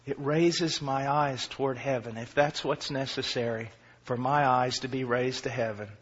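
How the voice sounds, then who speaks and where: Man, 40s, preacher, husky, impassioned sermon, quiet to shouting, self-deprecating humor, dull lo-fi sound. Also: mid-range voice, fairly even intonation, moderate pace. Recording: dull lo-fi recording.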